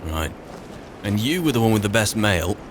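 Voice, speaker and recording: A man's voice: on the low side, big pitch swings, normal speed. A man doing in-character roleplay commentary for a video game as a wary adventuring wizard, musing to himself in short phrases. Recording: clear background noise, game ambience.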